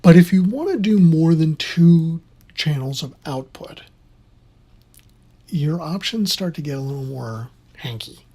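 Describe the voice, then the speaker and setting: Husky-voiced instructor, rambling and informal. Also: mid-range voice, animated, unhurried, mellow. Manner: rambling, conversational, opinionated. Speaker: instructor in theater sound. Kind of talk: informal spoken tutorial.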